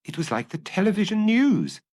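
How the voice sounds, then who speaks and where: A man's voice: high-pitched, huge pitch swings, brisk, darkish tone, no room sound, clean studio sound. A man narrating a detective story as a scripted audiobook reading.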